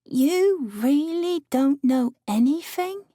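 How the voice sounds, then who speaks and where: A bubbly young woman, a trainee guard who is chatty and folksy in manner, is heard here as a male narrator puts her on in a fantasy audiobook. She talks high and slow, in a dry, close-miked sound. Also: animated, dark tone, no room sound, exaggerated voice.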